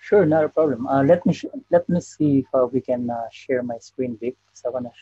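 Soft-spoken man on a video call. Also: mid-range voice, husky, no echo, lo-fi sound. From Philippines. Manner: soft-spoken, frequent "uh" pauses. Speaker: guest. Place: indoor room.

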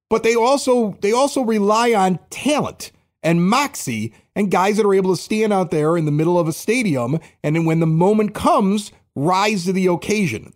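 A man hosting a sports podcast, ranting in a fired-up, defensive, emphatic way. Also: sits high. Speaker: podcast host. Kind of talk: heated podcast rant.

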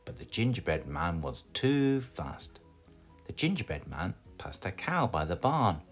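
A British man reading a children's storybook aloud in an expressive, scripted storytelling style. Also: low-pitched, measured pace, faint music behind. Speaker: storybook reader.

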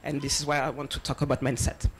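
A woman's voice: a bass voice, flat delivery, fast, slight background noise. A woman in her thirties, born in Senegal and raised in France, giving a conference talk into a handheld mic, a little nervous, with many ums. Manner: nervous, laughing between points, many ums. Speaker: conference speaker on diversity hiring. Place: large event space, partly open-air, with audience. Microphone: handheld microphone.

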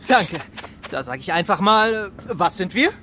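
A youthful, excitable boy in a children's adventure radio play. The delivery is cheeky and lively, with clear background noise. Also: high-pitched, unhurried. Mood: excited. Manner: wisecracking, youthful.

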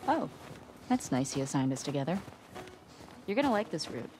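A woman's voice: low voice, big pitch swings, moderate pace, background noise. An actress playing a playful young woman survivor in a video game, talking casually and teasingly. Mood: easygoing. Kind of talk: naturalistic video game acting.

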